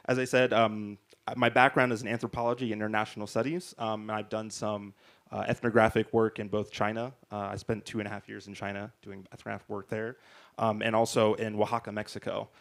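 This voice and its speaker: A man's voice: lowish voice, level tone, a little fast, vocal fry. Man moderating a stage panel. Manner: conversational, frequent "right?" and restarts. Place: large hall stage before an audience. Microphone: handheld mic.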